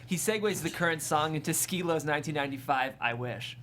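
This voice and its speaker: A man's voice: on the high side, brisk, fairly bright tone, a little hiss or hum. A man hosting a table read show, chatting and narrating script directions in a studio.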